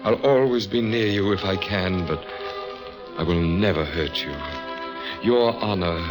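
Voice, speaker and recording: Male, a devoted romantic officer, imploring and insistent, lowish voice, radio melodrama over loud music. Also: unhurried. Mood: tender, devoted. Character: ardent, insistent romantic young Russian officer lover. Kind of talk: mid-century radio melodrama acting. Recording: vintage radio broadcast.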